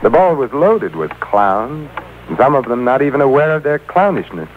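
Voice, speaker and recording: Actor as a suave, wisecracking radio adventurer, tinny sound. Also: male voice, medium pitch, big pitch swings, moderate pace, slight background noise, lightly acted. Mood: dry, amused. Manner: wry, urbane, teasing. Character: suave, wisecracking gentleman adventurer, quick to needle crooks. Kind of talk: 1940s-50s radio drama acting. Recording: tinny old radio drama taped off air, music behind.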